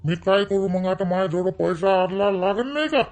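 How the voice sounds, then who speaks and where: A man's voice: high-pitched, moderate pace, darkish tone. A man voices a drunk, quarrelsome village elder brother in a cartoon, rambling and challenging in a Vidarbha Marathi accent. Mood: maudlin worry.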